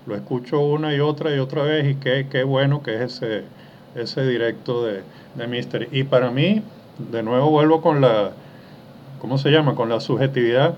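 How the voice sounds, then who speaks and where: A man's voice: medium pitch, steady tone, normal speed, a little hiss or hum. Man rambling through fillers on a lo-fi mic. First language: not French. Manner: chatty, hesitant, many fillers. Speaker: host of a progressive rock music show. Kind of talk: casual radio-style music chat.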